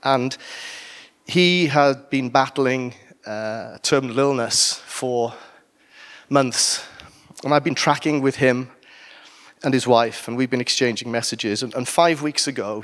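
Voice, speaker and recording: A Welsh man preaching to his church. His voice sits at an ordinary middle pitch. He delivers the sermon casually, breaking off for jokes and asides. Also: fairly bright tone. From Wales. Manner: conversational with asides and humor.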